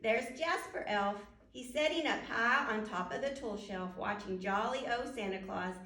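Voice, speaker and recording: A woman's voice: a little high, normal speed, pushed and bright. A woman reading a children's storybook aloud at storytime, speaking directly to young listeners and putting questions to them. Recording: roomy echo, distant microphone.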